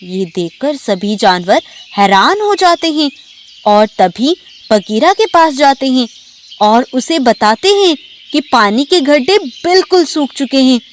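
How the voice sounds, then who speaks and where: A woman's voice: high-pitched, animated, slow pace, a little hiss or hum. A woman narrating a scripted children's animal story.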